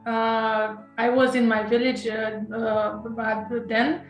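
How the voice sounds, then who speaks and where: A woman's voice: fairly high pitch, little pitch movement, slow, echoey room, highs cut off, faint music behind. A woman from Romania with a Romanian accent, an author being interviewed, speaking hesitantly with many fillers, restarts and nervous laughs. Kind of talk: casual interview conversation.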